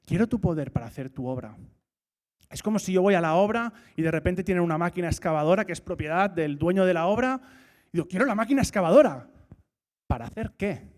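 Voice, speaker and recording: A man's voice: sits high, lively intonation, quick pace, a little mellow. A man preaching a sermon in church. He asks rhetorical questions, repeats his key points and jokes now and then.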